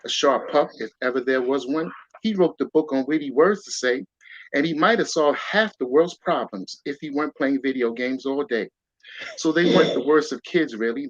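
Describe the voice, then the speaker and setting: A man's voice: fairly high pitch, no room sound. A man, a guest author, reading his rhyming children's story aloud with a rhythmic storytelling lilt. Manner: rhythmic storyteller reading verse, lively emphasis. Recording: dull lo-fi sound.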